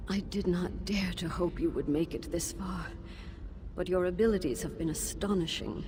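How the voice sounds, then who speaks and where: An actress playing a formal, measured elf woman, a tormented mage teacher's soul in a fantasy game. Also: fairly low pitch, background noise, close to an everyday voice. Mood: grave, weary. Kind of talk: scripted fantasy game dialogue. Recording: background music under the voice.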